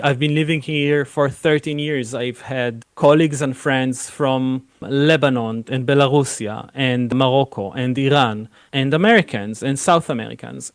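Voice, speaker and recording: A man's voice: medium pitch, dry recording, clean studio sound. A man with an Israeli accent, a film director answering interview questions earnestly, with frequent "you know" fillers.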